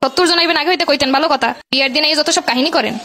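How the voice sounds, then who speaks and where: Woman voicing a fast, bossy young Bangladeshi village bride. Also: high-pitched, pushed and bright. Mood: scolding, commanding. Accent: Barisal, Bangladesh. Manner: scolding, blunt, commanding. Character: bossy, stingy young village bride guarding her wedding. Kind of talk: comic cartoon dialogue.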